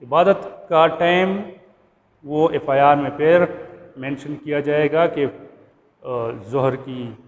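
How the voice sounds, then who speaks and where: A man with a Pakistani accent giving an explanatory law lecture, didactic, repeating points and checking that listeners follow. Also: medium pitch. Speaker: law instructor. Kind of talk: explanatory lecture going through a statute clause by clause. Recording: reverberant room with background noise.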